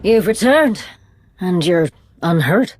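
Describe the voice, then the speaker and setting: A woman playing a devoted, steadfast fugitive in a video game, speaking in a measured, earnest way. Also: fairly low pitch, expressive, a little mellow, dry recording, soft background music. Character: steadfast, devoted female fugitive, soothing and resolute.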